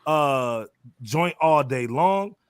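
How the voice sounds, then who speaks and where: Male American music host, higher register, animated slangy delivery, casual panel chat. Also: drawn-out words, smooth, no room sound, studio quality. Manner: animated, slangy, emphatic storytelling. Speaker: podcast host.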